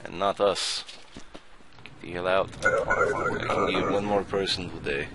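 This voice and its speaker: A man's voice: low voice, fairly even intonation, unhurried, very noisy. A man voicing a grumpy, crude male border inspector who speaks curt, broken English while playing a game. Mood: gruff grumbling. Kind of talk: let's play commentary in character.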